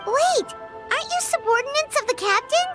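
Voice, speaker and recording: An actress playing a small, feisty, child-like companion in a video game, squeaky and exclamatory. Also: female voice, lively intonation, moderate pace. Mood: indignant. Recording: music clearly behind dialogue.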